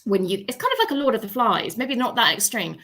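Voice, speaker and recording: A woman's voice: fairly high pitch, expressive, fast talker, fairly bright tone. A woman speaking as an author on a discussion panel, telling her experiences in a reflective, expansive, conversational way.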